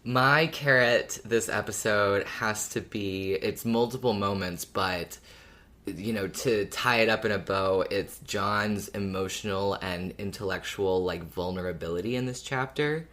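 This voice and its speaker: A man's voice: fairly low pitch, unhurried, sharp tone. A man co-hosting a casual fan podcast, thoughtful and rambling, laughing often. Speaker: podcast co-host.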